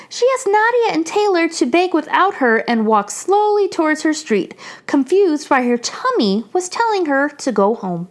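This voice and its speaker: A woman reading a children's picture book aloud in an animated storytelling manner. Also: high voice. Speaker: children's story reader, channel host.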